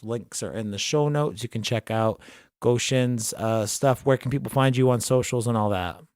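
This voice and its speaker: A man hosts a laid-back podcast chat, his voice at an ordinary middle pitch. He rambles comfortably with many fillers. The sound is dry and close, with no room around it. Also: normal speed, a little mellow, no room sound. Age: adult collector who grew up with the show. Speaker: podcast host. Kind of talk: casual interview chat.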